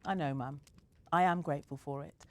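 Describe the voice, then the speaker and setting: A woman voicing a shy, thoughtful young girl who speaks quietly. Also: low voice, fairly even intonation, fairly quick, natural voice. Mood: gentle.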